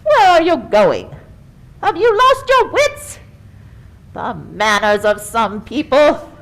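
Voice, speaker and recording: A woman's voice: high-pitched, big pitch swings, slow pace, a bit bright, full character voice. A woman voicing a prim, haughty, easily exasperated pig in a children's puppet show, with fussy, grandiose wording. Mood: indignant.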